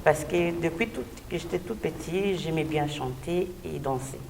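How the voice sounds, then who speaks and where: Low, creaky woman in a noisy echoing hall. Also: normal speed. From Belgium. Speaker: gospel singer, interview guest. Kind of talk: personal testimony in an interview. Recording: distant mic, echoey hall, noisy background.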